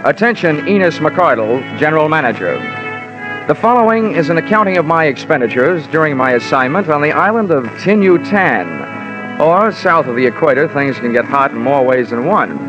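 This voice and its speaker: An actor playing a wry, world-weary American insurance investigator in a 1950s radio detective drama, sardonic and wisecracking. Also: male voice, fairly high pitch, sing-song, moderate pace. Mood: dry, wry. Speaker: lead and narrator. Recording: dull old radio recording, music.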